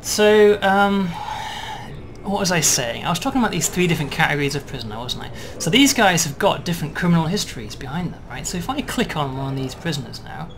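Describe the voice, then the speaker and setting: A man demonstrating and explaining a game build in a relaxed way, checking in with "right?" as he goes. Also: on the high side, quick pace, noisy background. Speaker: game developer demoing.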